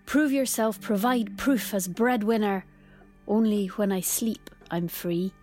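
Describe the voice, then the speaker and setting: A woman delivering a measured poetic recitation in a radio play. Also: mid-range voice, a little mellow, faint music behind, natural voice.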